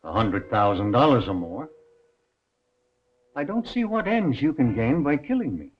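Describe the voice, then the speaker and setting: A man's voice: medium pitch, big pitch swings, unhurried. An actor playing a nervous, wealthy male banker in a 1930s crime film, courteous and hesitant under threat. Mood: uneasy. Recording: muffled 1930s film soundtrack, faint music.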